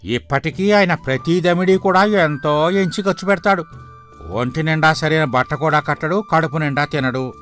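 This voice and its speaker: An actor playing a gossipy, disapproving village elder with a critical, sardonic manner in a moral story. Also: male voice, a little high, moderate pace, fairly bright tone, soft background music, natural voice. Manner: critical, sardonic, sympathetic to the poor. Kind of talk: dramatised moral story dialogue.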